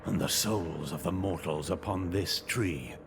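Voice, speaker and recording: Ghostly warlord, gravelly n slow, pompous and courtly but menacing, faint music under it. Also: male voice, low-pitched. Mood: cold menace. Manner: courtly, pompous, theatrical, deliberate. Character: proud, menacing undead knight, ghostly warlord. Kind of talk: video game cutscene acting.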